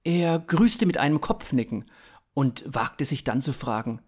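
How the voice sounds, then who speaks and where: A man in his thirties narrating scripted fiction in a dramatic, expressive way. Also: medium pitch, unhurried.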